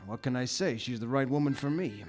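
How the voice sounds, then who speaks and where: An actor playing a loyal, laid-back island sidekick who encourages the detective, in a live comedy radio play. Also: male voice, medium pitch, fairly quick, darkish tone, faint music behind, close to an everyday voice.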